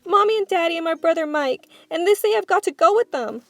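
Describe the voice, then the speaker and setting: A woman's voice: very high voice, lively intonation, fast, a bit bright, dry recording, pitched above the performer's own voice, full character voice. A woman voicing a passionate, headstrong small schoolgirl in a storybook, with urgent, pleading delivery. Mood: panicked.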